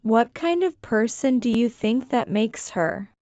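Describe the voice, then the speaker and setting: A woman language teacher slowly repeating scripted lines for shadowing practice, enunciating each one with care. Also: a little high, animated, dark tone, no echo, highs cut off. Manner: careful, deliberate enunciation.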